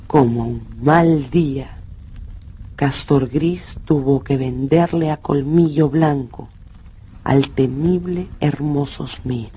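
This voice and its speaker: A man narrating a dramatised radio story with theatrical, deliberate phrasing. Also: on the high side, warm tone, breathy edge. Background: music.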